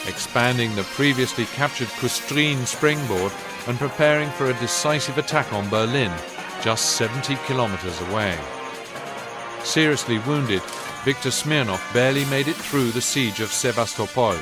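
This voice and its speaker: A man giving a measured, formal scripted narration of wartime history for a video game, in a solemn storytelling manner. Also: medium pitch, close to an everyday voice. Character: solemn male narrator of a Second World War history. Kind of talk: scripted documentary-style war narration. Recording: loud music under the voice. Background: loud music.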